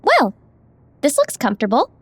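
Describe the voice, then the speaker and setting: A nervous, curious girl in a sci-fi audiobook. Her voice is extremely high and swings around in pitch, hesitant yet excitable, recorded very dry. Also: normal speed, no echo. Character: nervous, curious girl tagging along on adventure. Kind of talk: audiobook character acting.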